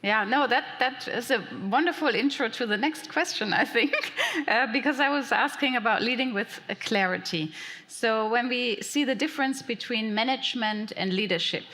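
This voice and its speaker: Female panel moderator, upbeat and brisk, bright tone, reverberant conference hall. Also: on the high side.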